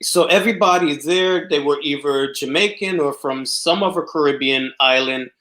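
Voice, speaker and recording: A man's voice: fairly high pitch, moderate pace, sharp tone. A man from New York hosting a casual video call conversation, asking questions with hesitant phrasing. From New York City. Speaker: host.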